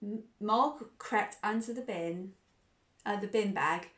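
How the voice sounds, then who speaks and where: A woman's voice: mid-range voice, slow, fairly bright tone. A woman hosting a children's storytime and reading a bedtime story, exuberant and sing-song as she talks to young listeners, often repeating phrases. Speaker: storytime host.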